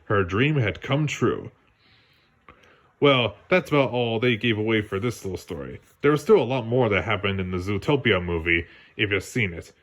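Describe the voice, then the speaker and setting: Lively man reading a storybook aloud. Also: lowish voice, normal speed. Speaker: storybook reader. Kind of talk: children's read-along narration.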